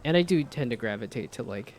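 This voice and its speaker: A young-sounding man with a slightly nasal voice and a gravelly edge chats in a relaxed, rambling way. His pitch sits in an ordinary middle range, and a faint noise runs under his voice. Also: fast. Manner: laid-back, rambling with restarts. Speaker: streamer. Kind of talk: casual gameplay chat.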